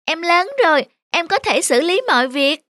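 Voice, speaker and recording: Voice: extremely high pitch, normal speed, pushed and bright, no echo, clean studio sound. A performer voicing a stubborn, whiny, carefree youngest child chameleon in a children's cartoon, childish and pouting. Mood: defiant, whiny.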